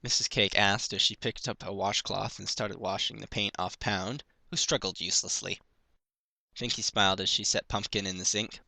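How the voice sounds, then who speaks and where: A man's voice: fairly low pitch, fairly even intonation, moderate pace, vocal fry, bright, forceful tone, no room sound. A man narrating a piece of fan fiction, reading it aloud as a story.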